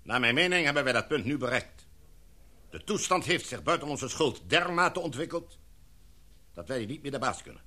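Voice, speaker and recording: A man's voice: medium pitch, animated, sharp tone. Een man die in een hoorspel een formele, gezaghebbende expeditieleider speelt en afgemeten, redenaarsachtig spreekt. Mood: urgent, oratorical. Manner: formal, oratorical, measured. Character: authoritative, formal expedition leader, a knighted gentleman. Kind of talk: radio drama acting.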